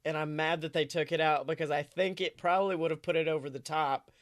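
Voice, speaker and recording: A man's voice: a little high, steady tone, quick pace, no echo, studio quality. A man co-hosting a podcast in casual comedic chat, with jokey, crude humor. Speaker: podcast co-host.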